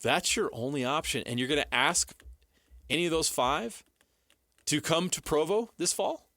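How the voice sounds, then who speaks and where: A man's voice: on the high side, normal speed, pushed and bright, dry recording, studio quality. An American man hosting a sports talk show, discussing and arguing with emphasis and rhetorical questions.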